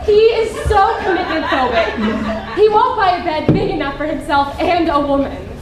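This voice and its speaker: A woman's voice: very high-pitched, expressive, moderate pace, far from the mic, a loud crowd. An actress in a stage play playing a heroine's feisty younger self, exasperated and challenging as she speaks emphatically. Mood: disapproving. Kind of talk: live stage play acting. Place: theatre stage, distant microphone. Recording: echoey, noisy theatre recording from afar.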